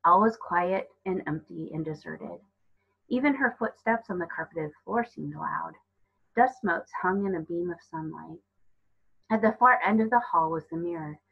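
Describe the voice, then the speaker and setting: Slightly husky woman reading a story. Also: on the low side, normal speed, muffled recording. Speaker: storybook reader. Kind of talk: reading a children's novel aloud.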